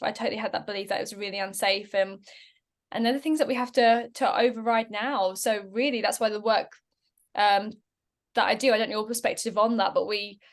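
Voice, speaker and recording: A woman with a fairly high voice chats on a podcast. She speaks in an encouraging way and often ends her points with affirming questions. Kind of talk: casual conversational podcast.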